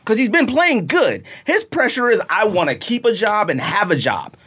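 A man co-hosting a podcast, pressing his point in a heated casual debate. His voice sits high and swings widely as he repeats himself and acts out little quotes. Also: big pitch swings, moderate pace, pushed and bright, husky. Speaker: podcast co-host.

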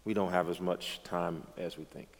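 A man's voice: low voice, flat delivery, normal speed, big-room sound. A man in his late thirties, a pastor, talking in a dialogue with an emphatic, preacherly way of speaking. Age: 30s.

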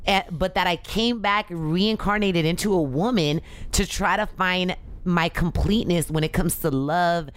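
A young adult woman, chatting as a podcast guest, telling animated stories and laughing often. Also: on the low side, moderate pace. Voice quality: raspy. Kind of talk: casual chat.

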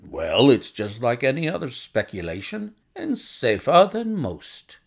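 A male reader acts out a blunt, wheedling gentleman with money to invest, in storybook style. The delivery is emphatic and slowly stretched, with a gravelly creak and big swings in pitch. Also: huge pitch swings, full character voice. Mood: bustling, persuasive. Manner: brisk, abrupt, emphatic, drawn-out stressed words. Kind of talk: audiobook character voice.

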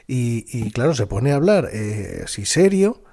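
A man from chatting casually, telling animated anecdotes with hesitant fillers. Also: medium pitch, measured pace, creaky, husky. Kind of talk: casual chat.

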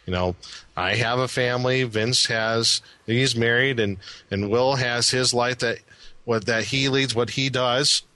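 A man co-hosts a casual podcast, sarcastic and a bit halting as he searches for words. His voice has a bright edge. The sound is close and dry. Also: mid-range voice, no echo. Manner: sarcastic, hesitant with fillers. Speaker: podcast co-host.

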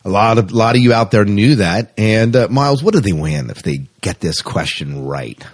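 A man with a fairly low voice hosts a casual podcast. He talks in a loose, conversational manner and tends to ramble as he goes. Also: animated, moderate pace, husky. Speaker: podcast co-host.

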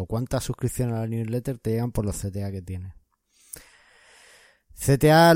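A man's voice: medium pitch, mellow, no echo, studio quality. A man from co-hosting a podcast, explaining and advising listeners in casual chat. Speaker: podcast co-host.

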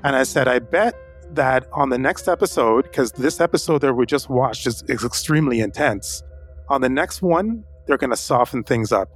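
Higher-voiced man hosting over faint music. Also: animated, moderate pace. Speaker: podcast host. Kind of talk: conversational interview.

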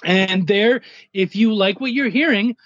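Guy guest chatting on a podcast, kinda high voice, slightly dull lofi audio. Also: a little slow, fairly bright tone, no echo. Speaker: podcast guest, comics writer and editor. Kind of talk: casual interview chat.

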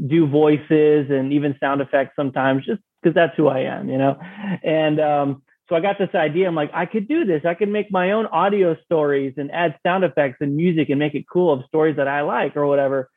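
A man's voice: fairly high pitch, no echo. A man telling his story casually as an interview guest, acting out his own thoughts as he goes. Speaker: podcast guest, audio drama creator. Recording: thin, narrow phone-like sound.

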